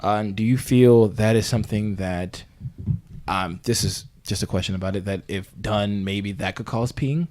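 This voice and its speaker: A man playing a bumbling, earnest talk-show host in an improvised comedy interview, with halting, rambling phrasing. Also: on the low side, darkish tone, lightly acted. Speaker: podcast host.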